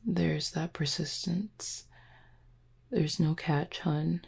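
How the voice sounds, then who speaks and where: A woman voicing a flirty, composed female werewolf second-in-command in an audio roleplay, teasing and measured, with a low, husky voice. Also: darkish tone, heavily acted. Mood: persuasive. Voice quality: low, husky, breathy with some creak. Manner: teasing, measured, deliberate wording. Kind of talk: roleplay audio drama, intimate one-sided dialogue.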